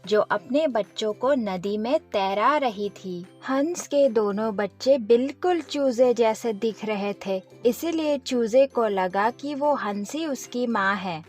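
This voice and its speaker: A woman's voice: on the high side, husky. A woman narrating a scripted children's story as its storyteller.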